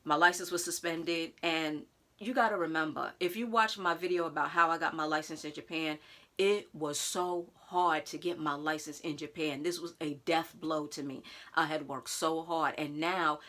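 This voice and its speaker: A woman vlogger telling a personal story straight to her viewers in a casual, animated way. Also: sits low, moderate pace. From United States. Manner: animated, talks straight to viewers with "y'all", acts out others' lines.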